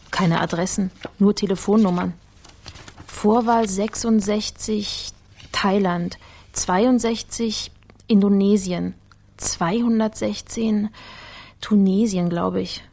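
A woman's voice: medium pitch, fairly even intonation, takes it slowly, pauses often, a little mellow. An actress playing a shrewd, wry female investigator in a radio drama, speaking tersely as if thinking aloud. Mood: brisk, wry.

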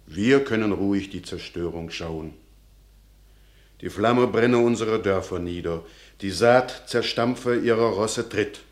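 An actor playing a peaceable, resigned peasant farmer, declaiming classical verse in a measured, earnest way in a radio drama. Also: male voice, deep voice.

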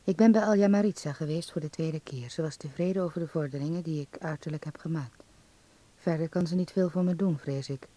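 An actor playing a young acting student calmly voicing a letter to his mother in a radio drama, measured and confiding. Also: a little high, natural voice.

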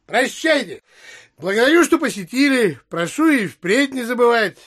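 An actor playing a shrewd, stubborn, grasping male landowner who haggles deliberately in a Russian literary audio drama. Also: very high voice, expressive, fairly bright tone, heavily acted. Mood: sly, affable.

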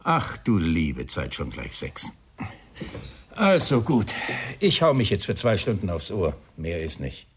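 An actor in a German radio crime drama playing a gruff, weary, wry police inspector with a dry, grumbling, bossy manner. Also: male voice, low-pitched, huge pitch swings, measured pace, heavily acted. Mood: weary, businesslike.

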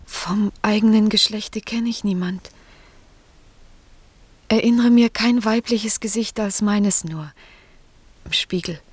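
An actress playing an innocent, sheltered young maiden in classical radio drama, soft and earnest, often sinking to a breathy near-whisper. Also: female voice, medium pitch, takes it slowly, pauses often, no room sound. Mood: shy.

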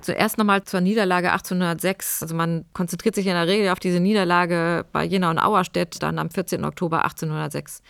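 A woman's voice: lowish voice, steady tone, fast, creaky, hardly any pauses, fairly bright tone, clean tone, no room sound. A woman speaking as a history expert, explaining in spontaneous, thoughtful interview phrasing.

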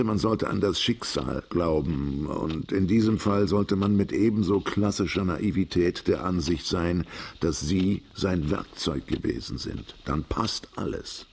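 An actor in a literary radio drama playing a world-weary, fatalistic writer who speaks in a deliberate, formal, philosophical way with a gravelly, husky voice. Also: male voice, low voice, heavily acted. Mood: fatalistic, measured. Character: world-weary, fatalistic male writer, once left for dead, brooding and enigmatic.

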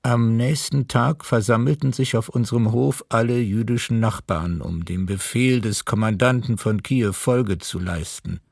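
Documentary radio drama: a tender, sorrowful male witness remembering childhood, gravelly and husky, close dry sound. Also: mid-range voice, normal speed, few pauses, darkish tone, dry recording.

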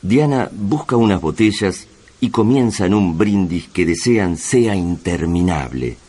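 A man's voice: sits low, expressive, unhurried, warm tone, breathy edge. A man reading scripted narration for a radio drama.

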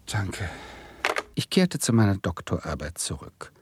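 Brooding student narrator, low and very husky, muttering obsessively, 1970s radio drama. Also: male voice, very animated, measured pace, no room sound, exaggerated voice. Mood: brooding narration. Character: brooding, obsessed doctoral student gambling on cocoa. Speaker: narrator and lead character. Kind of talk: 1970s German radio play acting, narration.

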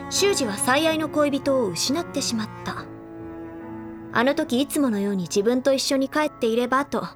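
A woman playing a confident, teasing high-school senior girl who recounts gossip in a feminine storytelling way in an audio drama. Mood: knowing, gossipy. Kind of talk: anime audio drama dialogue.

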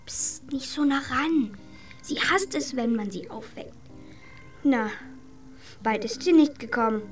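A woman's voice: high-pitched, a little slow, faint music behind. A woman acting a young girl in a German radio drama, a bossy, protective big sister. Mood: hushed, excited.

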